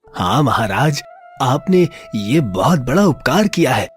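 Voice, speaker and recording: A man voicing a humble, anxious village man in a cartoon moral story, pleading deferentially in a husky voice. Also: medium pitch, very animated, unhurried, soft background music.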